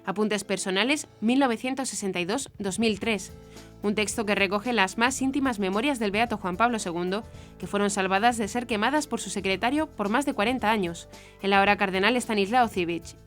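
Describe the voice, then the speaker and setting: A woman's voice: medium pitch, a bit bright. Woman newsreader over music. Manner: formal, measured newsreading. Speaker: news presenter. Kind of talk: scripted news narration. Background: background music.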